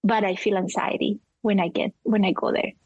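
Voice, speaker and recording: A woman's voice: medium pitch, flat delivery, brisk, darkish tone, breathy edge, no echo, lo-fi sound. A woman in her forties from Colombia with a Colombian Spanish accent, whose first language is Spanish, talking candidly and emotionally as an interview guest, with many fillers and restarts. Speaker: guest, cinematographer. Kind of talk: casual interview conversation.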